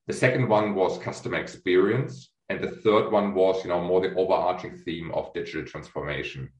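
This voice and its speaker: A man's voice: low voice, fairly quick. A man hosting a business interview, asking long questions with many fillers and restarts. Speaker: host, chief executive of a research firm. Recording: echoey room.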